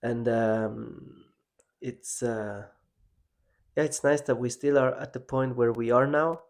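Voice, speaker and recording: A man from Switzerland hosting a podcast, talking in a reflective, halting way with many restarts. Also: mid-range voice, steady tone. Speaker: podcast host. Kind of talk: casual personal conversation.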